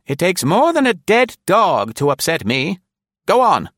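A man's voice: high-pitched, sing-song, measured pace, fairly bright tone, no room sound, clean studio sound, pitched above the performer's own voice, exaggerated voice. A man voicing a bossy, defiant older woman who speaks majestically and firmly, in a storybook reading. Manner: majestic, firm, indignant.

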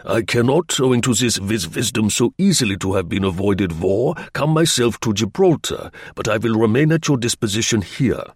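A man's voice: fairly low pitch, lively intonation, moderate pace, hardly any pauses, husky, no echo, studio quality. Man acting an aggrieved, wheedling spy. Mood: pompous. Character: podgy, aggrieved, wheedling male German agent.

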